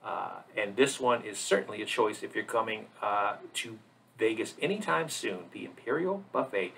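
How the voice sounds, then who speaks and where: A man with a husky, slightly gravelly voice giving a casual, rambling tour narration as a vlog host, with hesitant pauses. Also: fairly low pitch, expressive, fairly bright tone, slight background noise. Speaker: travel vlogger, host.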